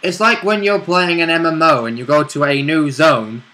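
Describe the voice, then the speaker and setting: A man's voice: on the high side, steady tone, moderate pace, pushed and bright. A man chatting casually as a player discussing a role-play game. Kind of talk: casual chat.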